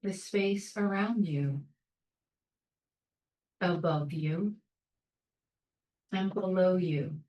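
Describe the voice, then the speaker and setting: A woman leading a guided meditation in a calm, soothing, measured way, leaving long pauses between short phrases. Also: fairly low pitch, echoey room. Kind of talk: guided meditation, soft instruction.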